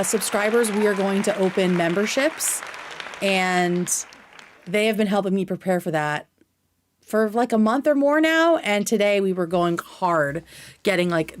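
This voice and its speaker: A woman hosts a livestream in a medium-pitched voice. She talks casually to her chat in an informal, scattered way. Her language is loose, and she swears freely. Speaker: livestream host.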